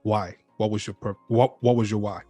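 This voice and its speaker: A man's voice: lowish voice, fairly even intonation, normal speed, mellow, breathy edge, faint music behind. A man hosting a podcast interview in a casual, friendly way, often calling his guest "man". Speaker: podcast host.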